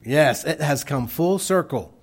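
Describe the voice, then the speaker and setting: Middle-aged Texan man, unhurried missionary report to a congregation, plain homespun delivery. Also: on the high side, expressive, breathy edge. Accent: Texas. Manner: slow, folksy, halting with many restarts.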